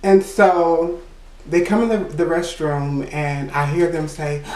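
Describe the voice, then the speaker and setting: A man with a high voice telling a personal story in a casual, rambling way, full of "you know" and quick laughs. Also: flat delivery, a little mellow, roomy sound, recorded from a distance, rough recording. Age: adult. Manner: laughs between remarks. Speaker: vlogger.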